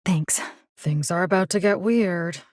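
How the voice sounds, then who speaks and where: A woman's voice: on the low side, a little slow, darkish tone, no room sound, clean studio sound, close to an everyday voice. An actress playing a plucky, wry young woman rescue fighter in a video game, speaking in a casual, sardonic way. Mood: focused, wry.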